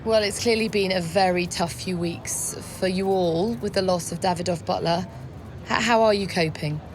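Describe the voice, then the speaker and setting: An actress playing a professional, probing sports reporter putting questions in a video game press interview. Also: female voice, fairly low pitch, normal speed, natural voice. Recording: clear background ambience noise.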